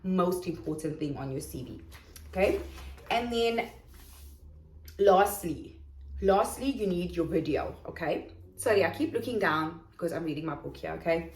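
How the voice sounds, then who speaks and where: A woman's voice: on the low side, expressive, normal speed, long pauses, a little mellow. A woman with a Durban South African accent explaining in a casual, chatty vlog how to start coaching English online, checking in often with "okay?". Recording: echoey room.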